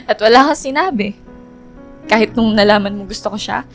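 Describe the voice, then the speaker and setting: A woman's voice: mid-range voice, a little fast, soft background music, natural voice. A woman playing a wounded young woman in a radio drama, guarded and accusing toward a friend. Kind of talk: Filipino radio drama acting.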